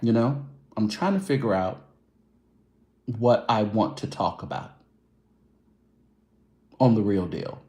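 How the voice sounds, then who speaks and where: A man's voice: on the low side, expressive, takes it slowly, long pauses, dark tone, roomy sound. A man hosting his own show, chatting loosely and casually with his viewers. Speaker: show host. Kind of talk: casual livestream chat.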